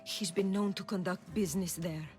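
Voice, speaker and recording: A woman playing a guarded, troubled oracle of ancient Greece in a video game, speaking solemnly and deliberately. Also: medium pitch, steady tone, darkish tone, faint music behind, natural voice. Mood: wary. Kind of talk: dramatic video game acting.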